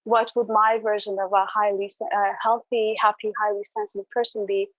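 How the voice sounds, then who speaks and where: A woman's voice: medium pitch, level tone, a little fast, dry recording, lo-fi sound. A woman podcast guest telling her personal story in an earnest, thoughtful, reflective way.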